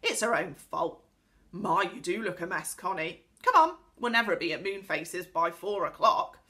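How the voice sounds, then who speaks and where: Woman playing a young storybook boy, animated pitch, bright, brisk, teasing. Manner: lively, matter-of-fact, calling out.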